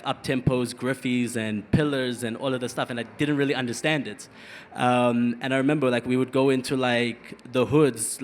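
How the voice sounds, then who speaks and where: A man born in South Africa and raised partly abroad, telling a story on a live panel with energetic, quick bursts. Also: medium pitch. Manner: energetic, fast bursts, many fillers. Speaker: panel guest. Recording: long hall reverb, faint room noise.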